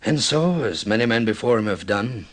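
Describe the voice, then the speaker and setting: An actor playing a middle-aged film director, intense and mournfully insistent, with theatrical, deliberate delivery in vintage radio drama style. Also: male voice, on the low side, expressive. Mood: grave, reproachful.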